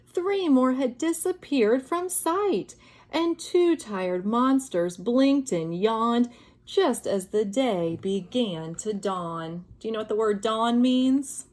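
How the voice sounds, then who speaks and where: A woman with a high-pitched voice reads a story to young children. Her delivery is slow and expressive, with a sing-song lilt. She speaks directly to her little listeners as a teacher would. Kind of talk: read-aloud for young children.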